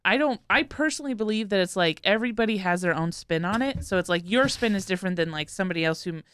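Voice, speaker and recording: A woman's voice: mid-range voice, lively intonation, quick pace, fairly bright tone, smooth. A woman chatting casually as a podcast co-host, close on a studio microphone. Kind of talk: casual chat. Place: small podcast studio, indoors. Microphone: close studio microphone with headphones.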